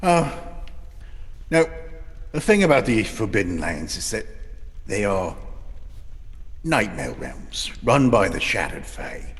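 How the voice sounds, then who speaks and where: Man acting a slow, hearty northern clansman. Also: on the low side, very animated. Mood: distracted, explaining. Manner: halting, muttering, exclamatory. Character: rugged northern clansman sage, hearty and distracted. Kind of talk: fantasy audio drama acting. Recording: long hall reverb, music underneath.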